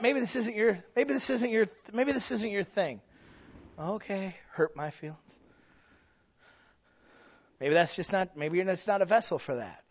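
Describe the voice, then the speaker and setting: High-voiced folksy male preacher. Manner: folksy, humorous, with emphatic rises and drops. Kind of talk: sermon. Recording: slightly dull lo-fi sound.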